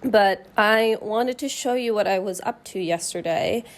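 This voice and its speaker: A young woman chatting casually to camera in a vlog, nasal and hoarse from a cold, thinking aloud with fillers. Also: medium pitch, measured pace, noisy background. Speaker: knitting vlogger.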